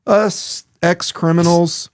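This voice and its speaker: A man's voice: high voice, fairly even intonation, takes it slowly, no room sound. Joking man, podcast host, dry sound. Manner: casual, joking, street slang. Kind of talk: casual interview.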